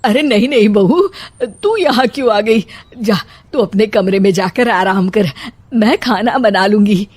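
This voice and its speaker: A woman acts out an anxious middle-aged mother-in-law in a comic story. She fusses and exclaims dramatically at a slow pace, with a dry, close sound. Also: medium pitch, a little mellow, breathy edge, no echo. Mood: fussing.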